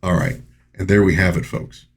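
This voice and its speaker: Male review host, somewhat low and husky, chatty and folksy, talking straight to the audience. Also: fairly even intonation, moderate pace. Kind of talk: conversational movie review, recapping and commenting.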